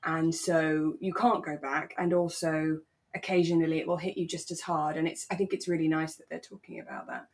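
A woman co-hosting a podcast, talking in a relaxed, chatty and reflective way. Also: low-pitched, fairly quick. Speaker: podcast co-host. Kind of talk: relaxed casual discussion of a radio drama.

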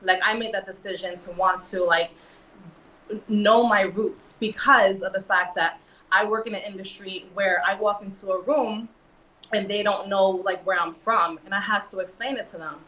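A woman's voice: medium pitch, fairly even intonation, normal speed, bright, forceful tone, roomy sound, recorded from a distance, slight background noise, poor audio. A woman from New York with a New York accent, talking earnestly in a casual panel discussion, with many fillers. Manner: earnest, filler-heavy, emphatic. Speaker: model and actress guest.

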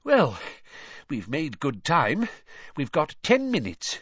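A man voicing a cheerful middle-aged wizard father in an audiobook, explaining things in a brisk, eager, chatty way. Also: on the high side, wildly swooping pitch, a bit bright, husky, dry recording, dull audio, pitched above the performer's own voice, exaggerated voice. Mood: breezy, busy.

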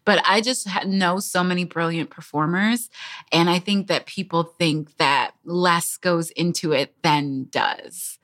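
A woman's voice: fairly low pitch, a little slow, fairly bright tone. An American woman co-hosting a podcast in casual chat, speaking in an earnest, encouraging way. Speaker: podcast co-host.

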